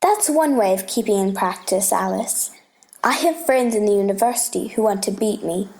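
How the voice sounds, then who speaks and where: A woman voicing a gentle scholarly uncle, patient and teasing as he explains things in a storybook play reading. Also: medium pitch, normal speed, natural voice.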